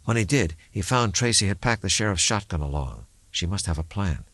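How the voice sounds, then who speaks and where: Deep-voiced man narrating a thriller. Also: lively intonation, fairly quick, a bit bright, no echo. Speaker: audiobook narrator. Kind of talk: scripted dramatic narration of a thriller novel.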